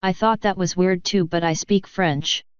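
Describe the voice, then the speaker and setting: A woman's voice: on the low side, fairly even intonation, measured pace, clear, resonant tone, no echo, dull audio, lightly acted. A woman voicing a curious, sarcastic student of magic from another world, blunt and casual, in an audiobook.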